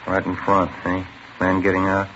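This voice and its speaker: An actor playing an easygoing police detective partner who speaks in a casual, matter-of-fact way, in 1950s radio drama style. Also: male voice, low-pitched, monotone, normal speed, a bit bright, a little hiss or hum. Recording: muffled old radio broadcast.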